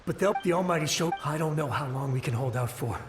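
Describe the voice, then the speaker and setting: A man playing a shaken soldier pleading with his ruler in an anime game, halting and beseeching. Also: fairly high pitch, close to an everyday voice. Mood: desperate pleading. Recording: long ghostly reverb, game music.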